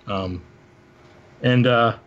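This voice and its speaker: Man hosting, halting and casual. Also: mid-range voice, fairly bright tone, highs cut off. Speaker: panel host, synth musician. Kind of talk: casual gear show-and-tell.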